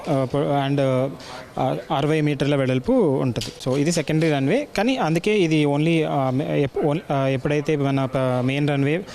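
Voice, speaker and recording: A man with an Indian accent explaining technical work in an interview, speaking haltingly and mixing in English terms. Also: a little high, darkish tone. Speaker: air traffic controller explaining his work.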